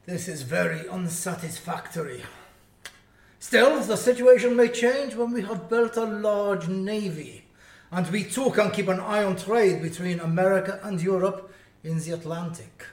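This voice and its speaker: A man's voice: sits high, a little slow. A man playing a dissatisfied, scheming national leader in a radio play, recorded in an echoey room. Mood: disgruntled, scheming. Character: dissatisfied, scheming great-power leader eyeing trade. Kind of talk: amateur radio play acting. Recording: echoey room, far from mic.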